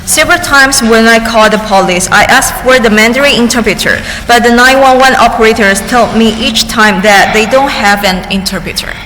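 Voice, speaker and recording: Higher-voiced woman interpreting in an echoey hall. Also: pushed and bright, a little hiss or hum. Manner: halting, with restarts and cut-off words. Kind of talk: consecutive interpretation of testimony. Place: large public hearing chamber. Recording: echoey hearing room with faint ambience.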